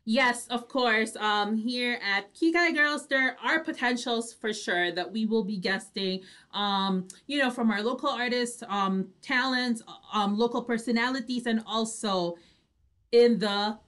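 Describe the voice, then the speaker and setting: A woman's voice: a little high, unhurried, a bit bright. A woman co-hosting a casual chat, talking in a chatty way and slipping between English and Tagalog. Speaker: co-host. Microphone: video call.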